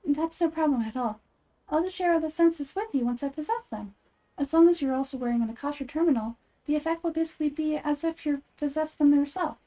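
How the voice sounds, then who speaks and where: Lady doing wise little girl god from a game, gentle n measured, high soft voice. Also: lightly acted, poor audio. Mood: calm, helpful. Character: small, calm, wise young girl deity.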